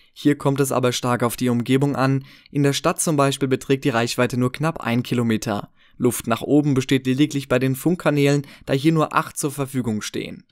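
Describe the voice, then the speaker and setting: A man's voice: medium pitch, no echo. Man narrating a dry, close-miked product review. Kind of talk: scripted voice-over narration of a product comparison.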